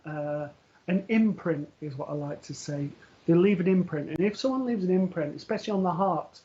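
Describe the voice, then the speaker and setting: A man with a somewhat high voice gives a spiritual reading, speaking gently and reassuringly. He often hesitates, fills pauses with ums and starts sentences over. The sound is dull and lo-fi, like audio from a live stream. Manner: hesitant, full of ums and restarts. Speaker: medium giving a live reading. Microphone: earpiece for live broadcast.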